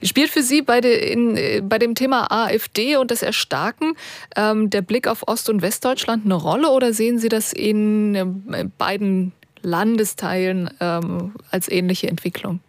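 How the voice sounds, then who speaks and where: A woman's voice: medium pitch, moderate pace, no echo. A woman hosting a radio call-in show, asking questions with some hesitant fillers. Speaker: radio talk show host.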